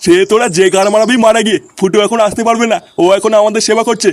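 A man's voice: high-pitched, little pitch movement, rapid-fire, a little hiss or hum, natural voice. A man voicing a bossy, bad-tempered village father in a comedy cartoon, scolding and abusive. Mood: bossy, dismissive.

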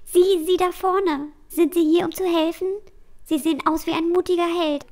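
A woman's voice: extremely high pitch, fairly even intonation, normal speed, a little mellow. A woman playing a panicked, pleading talking speck of light in a fantasy audio drama. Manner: urgent, pleading.

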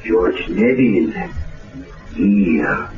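A menacing assassin in a radio play. He calls out slowly in a low voice, in echoey, dull sound with music. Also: expressive, exaggerated voice. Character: menacing assassin calling from the shadows. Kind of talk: radio drama acting.

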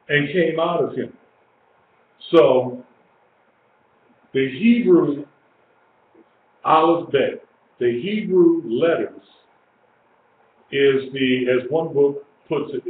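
An older man in his sixties teaches scripture informally to a small group. He is emphatic and restates his phrases. The sound is thin and narrow, with room echo and faint noise behind him. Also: medium pitch. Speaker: religious teacher. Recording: echoey, tinny lo-fi webcam stream with hiss.

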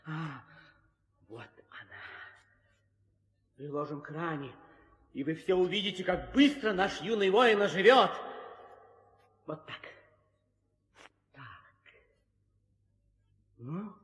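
Casting: boisterous monkey warrior, very high, swooping voice, audio drama, echoey sound. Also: male voice, slow, long pauses. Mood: searching, hushed. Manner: exclamatory, excitable. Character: eager, boisterous male monkey hero, devoted helper. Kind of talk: theatrical 1960s audio drama acting. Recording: echoey, reverberant old drama recording.

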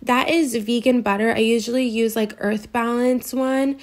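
A young woman with a fairly high voice talks through her cooking as a vlogger. She gushes and fills her sentences with "like." Her tone is easy and chatty. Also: fairly even intonation, normal speed, a little mellow. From US East Coast. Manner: chatty and gushing, heavy use of "like". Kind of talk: casual meal prep voice-over. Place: home kitchen.

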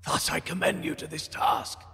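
An actor playing an old, grave and urgent male seer in a fantasy audio drama, with a raspy voice and a portentous, archaic delivery. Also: moderate pace, sharp tone, no room sound, natural voice. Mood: solemn. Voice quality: raspy, creaky.

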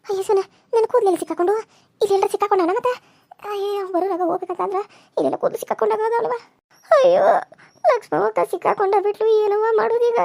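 A woman's voice: very high voice, steady tone, creaky, a little mellow, no room sound. A woman playing frightened village women who plead and wail. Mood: fretful. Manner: wailing, pleading, fast.

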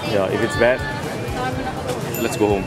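A man's voice: fairly low pitch, steady tone, over loud music, over a noisy crowd. A young man from Korea chatting casually with his wife while tasting food at an outdoor market, picked up by her handheld camera. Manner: reacts with drawn-out exclamations, short remarks. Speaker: vlogger's husband. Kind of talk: casual chat. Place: outdoor street market, busy city.

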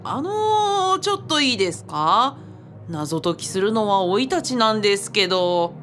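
A woman's voice: medium pitch, very animated, normal speed, fairly bright tone, slight background noise. A woman acting a put-upon young man in a radio drama, boyish and grumbling but good-natured. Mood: exasperated. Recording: faint music behind the voice.

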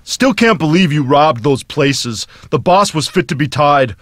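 A man's voice: a little high, animated, measured pace, a bit bright, a little hiss or hum. A wary, scolding henchman. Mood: incredulous.

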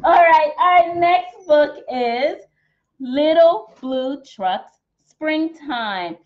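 A young American woman leads a children's storytime with a high voice that swoops up and down. She draws words out slowly and theatrically. The sound is slightly dull, as from a webcam in a room. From Texas, United States. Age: young adult. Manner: theatrical, exaggerated, sing-song. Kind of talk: animated picture-book read-aloud for young children. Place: small decorated room at home, indoors.

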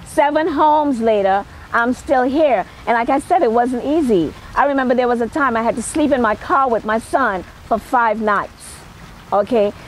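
A woman's voice: on the high side, a little hiss or hum, poor audio. A middle-aged woman with a Trinidadian accent giving an emphatic, motivational personal talk to camera outdoors. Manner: emphatic, punctuated with "okay". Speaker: celebrity hairstylist and makeup artist. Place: outdoor patio at night.

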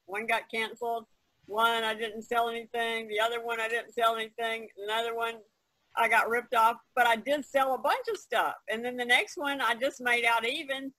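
A woman's voice: a little high, fairly even intonation, normal speed, sharp tone, no echo, poor audio. A woman in her sixties talking bluntly and emphatically in a casual group chat among artists on an online call. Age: 60s. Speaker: artist podcast participant. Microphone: online video conference call.

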